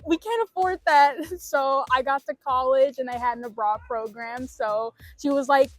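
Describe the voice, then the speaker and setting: Giggly young woman, slightly high voice. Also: moderate pace. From Missouri, USA. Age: young adult. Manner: giggly and animated when telling stories. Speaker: student interviewee. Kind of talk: casual chat.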